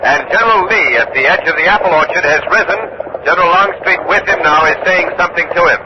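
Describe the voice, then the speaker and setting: Casting: actor as male live-news correspondent, 1940s radio drama, rapid polite delivery, dull sound with clear hiss. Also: sits high, lightly acted. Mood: hushed, watchful. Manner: clipped, urgent, polite. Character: urgent on-the-scene male radio reporter at a battlefield. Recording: muffled, noisy old radio recording.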